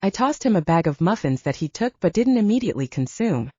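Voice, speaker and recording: A woman's voice: on the low side, big pitch swings, fairly quick, a little mellow, husky, no echo, highs cut off. A woman narrating first-person fiction as a scripted audiobook, recorded close in a dry space.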